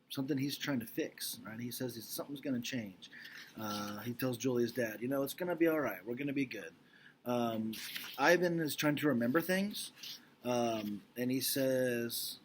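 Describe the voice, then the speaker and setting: A man's voice: mid-range voice, slight background noise. A man speaking as a teacher, casually recapping and reading a storybook aloud in an informal, explanatory way, checking in with listeners.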